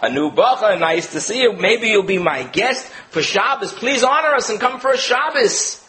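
A man's voice: sits high, lively intonation, normal speed, bright, forceful tone, reverberant. A man doing a gushing over-friendly host. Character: overly friendly man inviting a new student, insincere.

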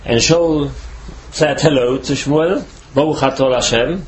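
A man from Switzerland, not a native English speaker, giving a Torah lecture as a rabbi in halting, careful English. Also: on the high side, slight background noise, poor audio.

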